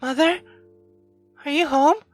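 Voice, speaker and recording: Man putting on a high, timid young girl. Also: takes it slowly, soft background music. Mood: uneasy. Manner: hesitant, questioning. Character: timid, lovestruck young girl in a visual novel.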